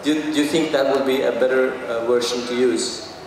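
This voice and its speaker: A man's voice: mid-range voice, steady tone, fairly quick. A man moderating a press conference informally, putting questions to the panel. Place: large press conference hall, indoors. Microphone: PA mic, picked up by a distant camera. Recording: noisy echoey hall from far off.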